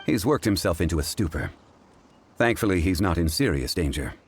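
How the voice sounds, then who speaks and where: A composed scholarly gentleman from a video game, with a low, deep voice. He speaks formally and deliberately, calm and grave, with faint noise behind. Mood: calm, concerned.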